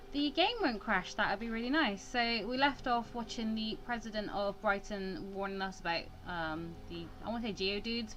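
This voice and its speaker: A woman's voice: mid-range voice, fairly bright tone, poor audio. A woman giving casual let's-play commentary, chatty and often breaking off into asides. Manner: chatty, self-interrupting asides. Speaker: gaming video host. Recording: clear background noise.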